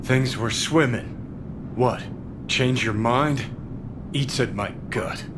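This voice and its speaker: An actor playing a brash, cynical, profane rebel rock singer in a video game, gruff and sardonic. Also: male voice, mid-range voice, slow pace, background noise, close to an everyday voice. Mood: sardonic, low.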